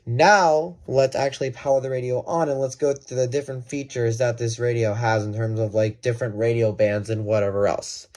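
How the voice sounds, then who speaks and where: Mellow-voiced man demoing a gadget. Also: mid-range voice, steady tone, brisk, few pauses. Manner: thinking aloud, self-correcting. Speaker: product reviewer. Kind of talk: casual hands-on gadget demonstration.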